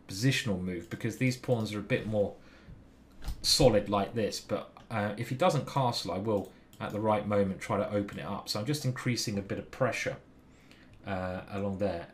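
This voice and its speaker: A young man from Britain gives casual live commentary on his own chess game, thinking aloud between moves and joking with viewers. His voice sits low and easy. A faint background noise runs under the stream.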